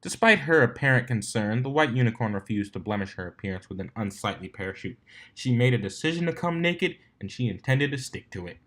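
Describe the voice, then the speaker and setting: A man's voice: medium pitch, expressive, brisk, a bit bright. A man reading a fan fiction story aloud as its narrator, in scripted storybook style.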